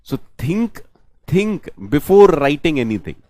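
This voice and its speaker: A programming teacher with an Indian English accent and Hindi as his first language, his voice sitting fairly high for a man. He keeps repeating lines and asking "am I right?" before answering for his class. The recording is dry and close, with no room around him. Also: big pitch swings, a little slow, darkish tone, no echo. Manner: repeats phrases, asks "am I right?" and answers for students. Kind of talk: online lecture to students.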